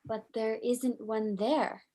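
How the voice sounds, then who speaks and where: High-voiced, spirited young fiancée played by a woman for an old-time radio play. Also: takes it slowly, a little hiss or hum. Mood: eager. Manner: eager, lively, teasing. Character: young bride-to-be daughter, curious, spirited.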